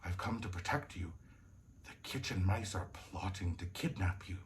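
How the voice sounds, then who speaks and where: A man voicing a gallant, protective toy nutcracker prince in a storybook, with a low, hushed, courtly voice. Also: big pitch swings, slow.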